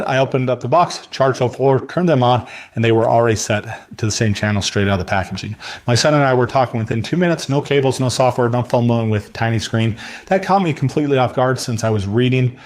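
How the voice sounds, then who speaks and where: A man delivering a scripted product review countdown in a salesy manner, speaking directly to the viewer. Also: mid-range voice.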